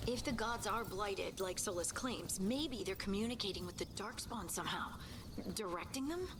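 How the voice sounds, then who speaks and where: A woman as a measured, dry adventurer heroine. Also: mid-range voice, lightly acted. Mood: pondering. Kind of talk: fantasy video game voice acting. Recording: game music behind.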